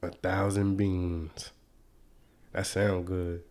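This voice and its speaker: A man's voice: deep voice, level tone, extremely slow, a little mellow. A young man hosting a podcast in a casual, slangy way, stuffy and congested from a cold. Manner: laid-back, slangy, chuckling. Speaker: podcast host.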